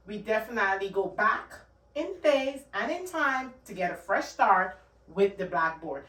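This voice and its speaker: A woman's voice: medium pitch, a bit bright, recorded from a distance. Guyanese woman giving money tips in an echoey room. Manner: earnest, repeats points, emphatic. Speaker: channel host giving money advice. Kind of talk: casual talk-to-camera advice.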